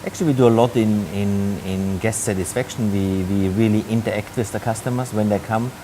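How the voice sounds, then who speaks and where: A man's voice: lowish voice, steady tone, fairly quick, noisy background. A middle-aged man from Austria with an Austrian German accent, a hotel general manager answering interview questions hesitantly, with many fillers and restarts.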